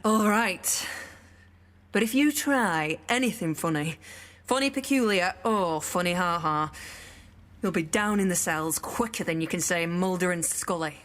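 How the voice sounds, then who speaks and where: A woman's voice: on the low side, animated, measured pace, fairly bright tone. A woman playing a no-nonsense detective inspector in an audio drama, dry and sardonic. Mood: dry, exasperated. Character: dour, no-nonsense female police detective inspector. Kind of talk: naturalistic audio drama acting.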